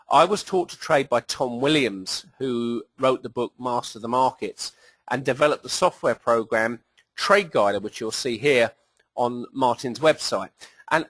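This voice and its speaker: A man's voice: medium pitch, a bit bright, no echo. A middle-aged British man from England giving an enthusiastic, emphatic presentation with frequent hesitations. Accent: British English. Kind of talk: webinar presentation.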